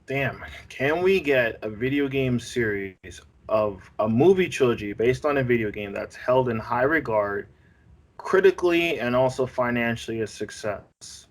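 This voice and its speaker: A man's voice: medium pitch, expressive, unhurried. A man co-hosting a podcast, giving his opinions in a slangy, colloquial, casual way. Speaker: podcast co-host. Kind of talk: casual chat, opinionated commentary.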